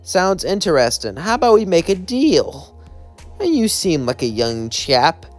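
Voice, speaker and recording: A man's voice: on the high side, sing-song, a little slow, faint music behind, pitched above the performer's own voice. A man voicing a sly, easily annoyed male demon who talks in a probing, slightly formal way in a cartoon story. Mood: sly, curious.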